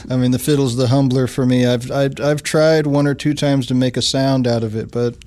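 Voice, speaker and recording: A man's voice: medium pitch, steady tone, brisk, darkish tone. A man hosting a radio interview in a studio, asking long, curious questions and affirming his guest. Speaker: radio show host. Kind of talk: interview conversation.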